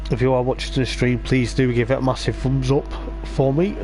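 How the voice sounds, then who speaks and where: Hoarse man rambling through race commentary. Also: medium pitch, little pitch movement, a little fast, noisy background. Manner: rambling, filler-heavy, hesitant. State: voice going hoarse. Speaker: sim racing league commentator. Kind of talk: live race commentary on a stream.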